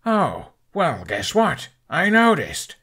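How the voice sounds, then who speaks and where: A man's voice: fairly high pitch, huge pitch swings, slow, bright, forceful tone, husky, pitched above the performer's own voice, heavily acted. A man voicing an embittered, sarcastic young male Viking dragon rider in an audiobook story. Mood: scornful. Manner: biting, sarcastic.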